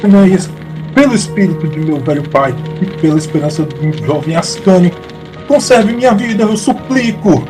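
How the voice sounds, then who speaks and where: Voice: medium pitch, animated, moderate pace, music in the background, lightly acted. An actor playing a desperate warrior who begs for his life in a theatrical, pleading way in an audio drama.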